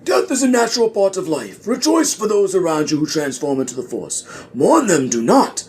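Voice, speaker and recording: A man voicing a wise old Jedi master who ponders slowly in backwards word order, in a parody audio play. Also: high voice, sing-song, darkish tone, pitched above the performer's own voice. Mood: solemn counsel. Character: wise old male Jedi master speaking in inverted riddles.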